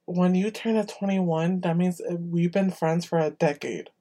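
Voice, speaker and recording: A nineteen-year-old chatting casually with old friends in a relaxed, unhurried way. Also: medium pitch. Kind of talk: casual chat among friends.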